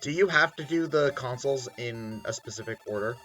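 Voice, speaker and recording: A man's voice: medium pitch, a little fast, a bit bright. A man chatting casually as a commentator over video game footage. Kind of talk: casual chat over gameplay. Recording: game music behind the voice.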